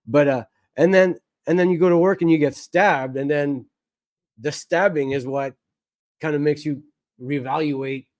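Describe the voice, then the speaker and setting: Relaxed man hosting a podcast. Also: on the high side, measured pace, dry recording, clean studio sound. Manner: summarizes the guest's story back, relaxed. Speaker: podcast host. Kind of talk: conversational interviewing.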